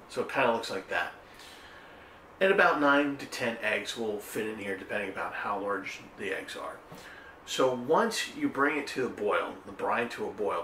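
Middle-aged man, lowish voice, rambling casual kitchen chat, roomy echo, mic some distance away. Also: bright, forceful tone, a little hiss or hum. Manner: rambling and chatty, many ums and restarts; gestures with hands while talking. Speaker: cooking video host. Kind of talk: casual home cooking talk. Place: home kitchen, indoors. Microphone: camera a little way off. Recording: echoey kitchen, voice a bit off-mic.

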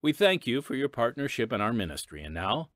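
A man's voice: medium pitch, sing-song, brisk. A male host making a friendly, persuasive appeal for donations.

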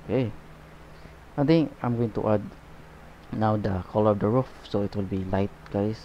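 Man, painting instructor, lowish voice, relaxed and unhurried, slightly dull lo-fi sound. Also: a little hiss or hum. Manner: relaxed, unhurried, repeats "okay" and "guys". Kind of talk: painting tutorial narration.

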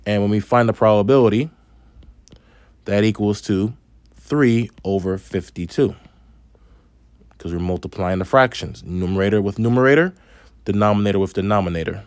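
Male math teacher, lowish voice, slight lisp, patient step-by-step delivery, dry close-mic voice-over. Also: normal speed, long pauses, no echo. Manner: patient, step-by-step explaining with asides. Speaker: math instructor. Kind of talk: screen-recorded math lesson.

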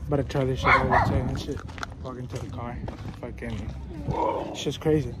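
A man's voice: mid-range voice, level tone, a little fast. A young man filming a casual vlog outside a street event, talking in a laid-back, slangy way with plenty of swearing. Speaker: vlogger. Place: outdoors at a crowded street event. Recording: loud background noise.

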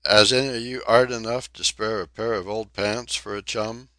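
A man's voice: lowish voice, fairly even intonation, moderate pace, pushed and bright, dry recording, pitched above the performer's own voice. Man doing a ragged Cockney sailor, whining. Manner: whining, indignant, dropped aitches.

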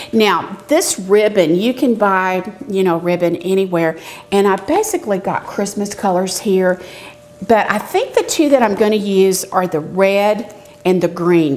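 A woman's voice: mid-range voice, darkish tone. A middle-aged woman hosting a casual cooking and craft demonstration, explaining step by step in a chatty, folksy way. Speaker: cooking show host, extension educator. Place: medium-sized indoor demonstration kitchen. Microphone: lapel mic. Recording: clear background noise with clicks.

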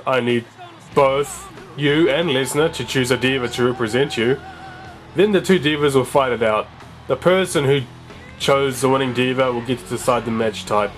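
A young man with a sore, slightly strained voice talks excitedly over a wrestling game he is playing. His chatty commentary sits at an ordinary middle pitch. Faint game music plays underneath. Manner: chatty, excitable, reads game dialogue aloud. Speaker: let's play gamer. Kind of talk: casual gameplay commentary, reading game text aloud.

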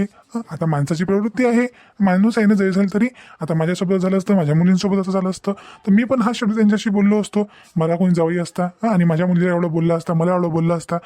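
A protective young husband, acted by a man, talking quickly in a high but mellow voice. He reasons and gently scolds like a lecturer in a cartoon melodrama, checking often with short questioning hums. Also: no echo, close to an everyday voice. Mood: calm reasoning. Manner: insistent, lecturing, peppered with questioning "hm". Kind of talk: amateur Marathi cartoon melodrama dialogue.

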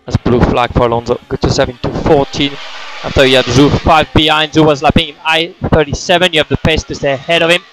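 Over a team radio with some vehicle noise comes a male race engineer with a slightly high voice. He speaks in terse, clipped instructions. He repeats key calls in a procedural way. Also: fairly even intonation, quick pace. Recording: noisy motorsport team radio.

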